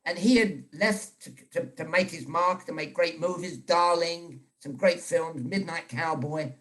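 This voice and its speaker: An older man from England with a clear British accent, speaking as a guest journalist. He rambles through stories, repeating words and restarting sentences. He is heard over a video call. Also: on the high side, unhurried, fairly bright tone. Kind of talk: casual storytelling chat.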